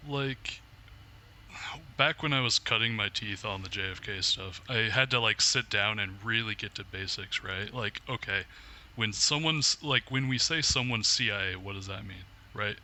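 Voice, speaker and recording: A man's voice: lowish voice, moderate pace, sharp tone, slight background noise. A man, a researcher guesting on a podcast, explains things casually and colloquially, often checking in with his listeners.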